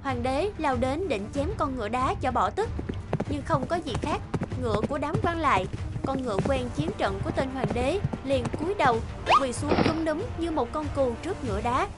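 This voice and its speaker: A woman's voice: sits high, lively intonation, normal speed. A woman narrator reading a scripted fairy tale as a storyteller. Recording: music behind the voice.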